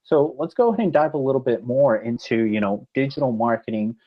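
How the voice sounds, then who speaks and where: A man's voice: medium pitch, fairly quick, highs cut off. A man in his twenties co-hosting a podcast, upbeat and talking straight to viewers, with a lot of "you know". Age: 20s. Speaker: podcast co-host. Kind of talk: casual podcast chat.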